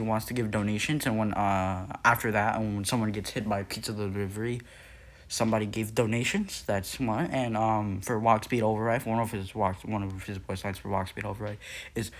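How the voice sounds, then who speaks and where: A teenage boy explains things for his channel in a loose, meandering way, trailing off and restarting often. His voice sits a little low for a young man. He sounds unscripted and easygoing. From Nepal. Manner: rambling, hesitant, many fillers and restarts. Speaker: youtuber. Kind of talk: casual lore video narration.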